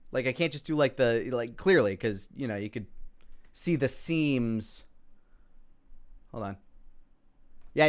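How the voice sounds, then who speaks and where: A man's voice: medium pitch, clean tone. A man giving casual reaction commentary on his own video, rambling and self-critical.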